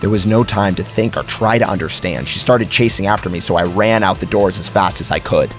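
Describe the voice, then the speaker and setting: A man's voice: low voice, fairly quick, soft background music. A man narrating a first-person horror story in a theatrical, suspenseful way.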